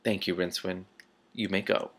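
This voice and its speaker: A man voicing a smooth, menacing ruler who speaks in formal, faux-polite veiled threats in an audiobook-style reading. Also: sits low, fairly even intonation, normal speed, a bit bright, voice pushed down. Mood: silkily threatening.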